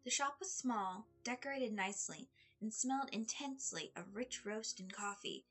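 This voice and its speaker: A woman reading a story aloud in an expressive audiobook style, with a slightly husky voice. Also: a little high, normal speed. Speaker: fan fiction reader. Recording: music under the voice. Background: background music.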